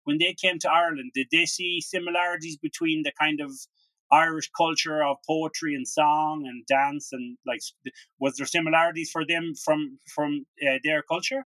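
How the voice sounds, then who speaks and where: A man's voice: fairly high pitch, fairly even intonation, moderate pace, sharp tone, no echo, low-quality recording. A man hosting an interview, who often repeats and restarts words as he frames his questions. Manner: hesitant, repeats words while asking questions. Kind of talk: casual interview.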